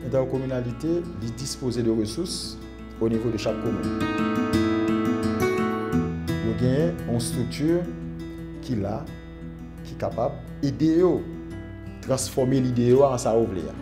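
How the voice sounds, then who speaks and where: A man's voice: medium pitch, expressive, measured pace, pauses often. A man with a Haitian accent explaining things in a documentary interview. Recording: music bed behind voice.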